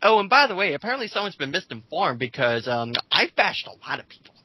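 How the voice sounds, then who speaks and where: A man with a bright, slightly high voice reads comments aloud and picks them apart. He sounds sarcastic and exasperated, swears freely and is recorded dry and close. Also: big pitch swings, fast talker, slightly rough, dry recording, poor audio. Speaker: host reading and mocking online comments. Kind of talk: casual sarcastic commentary and dramatic reading.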